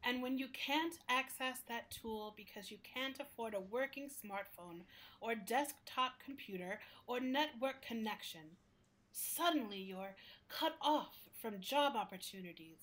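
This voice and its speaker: Radio play casting: female actor for a sentient public library, high-pitched, earnest, caring, reflective, gently opinionated. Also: lively intonation, measured pace. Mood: thoughtful, passionate. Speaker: actor playing the interview guest. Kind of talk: radio play acting, conversational interview.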